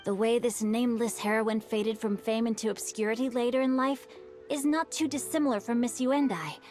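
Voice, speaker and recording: Woman doing a well-read young swordsman guy, polite and calm, tells stories slow, soft game music under it. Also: a little high, natural voice. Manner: courteous, measured storytelling. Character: bookish young male swordsman, polite and thoughtful. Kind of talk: video game dialogue.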